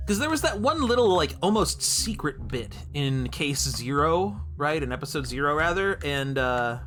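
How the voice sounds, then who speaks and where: A man streaming a game with playful running commentary. His voice is bright and very animated, given to theatrical asides, over soft background music. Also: a little high, normal speed. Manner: theatrical, jokey, self-interrupting. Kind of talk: playful live playthrough commentary.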